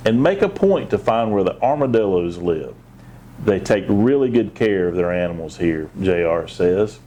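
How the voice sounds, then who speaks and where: A man's voice: lowish voice, dark tone, slight background noise. A man reading a children's picture book aloud, with short asides of his own. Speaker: assistant police chief, guest storybook reader.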